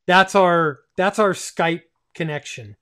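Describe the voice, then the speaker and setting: Man in his fifties, livestream host, slow pace, enthusiastic, repeats himself for emphasis. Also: high voice, fairly bright tone, clean tone. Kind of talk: casual livestream hosting. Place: small home radio room, indoors.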